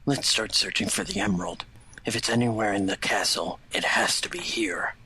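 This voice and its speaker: A man voicing a stern, matter-of-fact male hedgehog anti-hero in a fan fiction audio drama, with measured, clipped delivery. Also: fairly low pitch, steady tone, sharp tone, breathy edge, in a whisper, low-quality recording. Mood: serious. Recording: music behind the voice.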